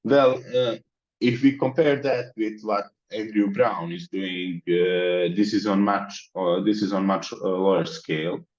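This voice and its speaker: A man from the Balkans speaks in a very deep voice, laying out his plans methodically as an interview guest. His audio is dull and lo-fi. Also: fairly even intonation, a little slow, darkish tone. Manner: methodical, explains in long lists. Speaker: interview guest, mentorship organizer. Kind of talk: conversational interview.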